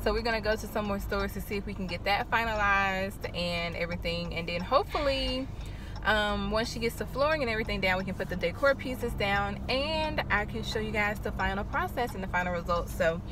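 A woman's voice: mid-range voice, brisk, noisy background. A young American woman talking in an informal, chatty way as she vlogs. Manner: informal, conversational. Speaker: vlogger. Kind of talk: casual chatty vlog.

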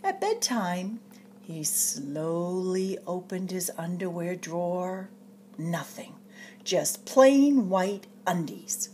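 A woman reads a children's picture book aloud with a lively, swooping voice. She takes her time and stretches phrases for suspense like a practiced storyteller. There is some faint noise in the recording. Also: lowish voice. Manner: expressive, dramatic storyteller delivery with drawn-out suspenseful phrases. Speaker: storytime reader at a public library.

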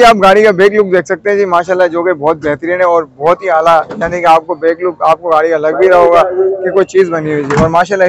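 A man's voice: high-pitched, rough recording. A man with a Pakistani Urdu accent interviews a seller at an outdoor car market, politely repeating each answer back to the camera. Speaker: vlogger touring a car market. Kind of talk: walkaround car review interview.

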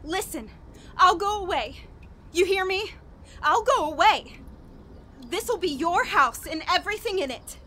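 Terrified, sobbing woman, very high-pitched. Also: lively intonation, bright, forceful tone, heavily acted. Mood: shocked, fearful. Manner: halting, repetitive, sobbing, pleading. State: crying, panicked. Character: desperate, guilt-ridden, terrified woman pleading for her life. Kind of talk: horror radio play monologue.

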